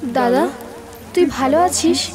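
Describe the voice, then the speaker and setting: A woman playing a gentle, devoted younger sister's ghost in a horror audio drama, speaking tenderly. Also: high-pitched, takes it slowly. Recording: music bed with rain behind. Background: music and rain.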